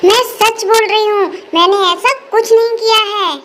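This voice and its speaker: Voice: very high voice, steady tone, a little slow, bright, forceful tone, slight background noise, full character voice. A performer voicing a wronged young daughter-in-law, defensive and insistent, in a cartoon family melodrama. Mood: protesting.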